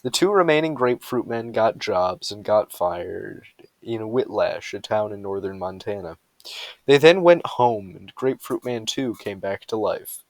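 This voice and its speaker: A man's voice: on the low side, animated, a little slow, dry recording. A man reading humorous bedtime stories aloud with a mock-serious storytelling delivery.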